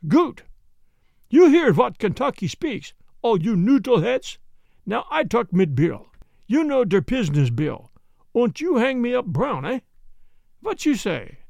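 A man's voice: very high-pitched, big pitch swings, unhurried, creaky, darkish tone, dry recording, studio quality, pitched above the performer's own voice, full character voice. A man voicing a stubborn, boastful male prisoner with a heavy German accent and broken English. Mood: scheming. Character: wild defiant male prisoner, stubborn and blustering.

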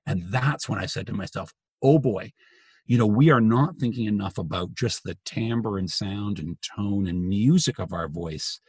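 A man with a gravelly, husky voice talks through a story in a relaxed, chatty way, often stopping and restarting his sentences. The recording is dry and close, with no room around him. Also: fairly low pitch, normal speed, no room sound. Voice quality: gravelly, raspy voice. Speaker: journalist and author as guest. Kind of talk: casual podcast conversation.

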